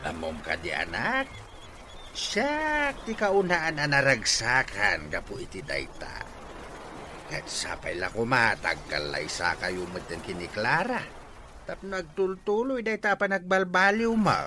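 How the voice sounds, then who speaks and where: A man's voice: mid-range voice, big pitch swings, unhurried, bright, forceful tone. A man with a northern Philippine accent plays a concerned, nagging father in radio comedy drama, exclaiming and admonishing. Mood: earnest advising. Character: concerned, nagging father advising young men. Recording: faint background noise.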